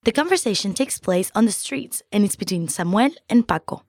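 A woman from Peru, a native Spanish speaker, co-hosting a scripted language lesson in a friendly, measured way, recorded close on a studio mic. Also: mid-range voice, lively intonation, darkish tone, dry recording.

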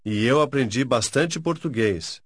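Man modelling phrases slowly and carefully. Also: mid-range voice, breathy edge, dry recording, studio quality. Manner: slow, careful, syllable-by-syllable repetition. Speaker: native-speaker model in a language course. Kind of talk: scripted phrase drills.

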